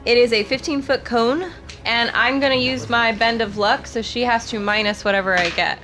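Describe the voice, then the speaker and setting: A woman's voice: on the high side, steady tone, moderate pace, over music. A woman playing a tabletop fantasy game, casually describing her character's moves. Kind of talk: casual game play among friends.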